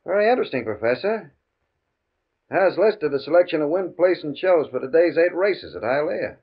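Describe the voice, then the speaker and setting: Smooth, menacing gambling boss, lively pitch swings. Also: male voice, normal speed. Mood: quietly threatening. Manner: mock-polite, controlling, taunting. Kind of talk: 1950 radio drama acting. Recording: muffled old radio recording.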